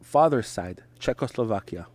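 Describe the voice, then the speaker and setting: A middle-aged man from Israel, a native Hebrew speaker, talking in English as an interview guest in short, halting phrases with frequent restarts. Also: on the low side, lively intonation, darkish tone. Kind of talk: conversational interview.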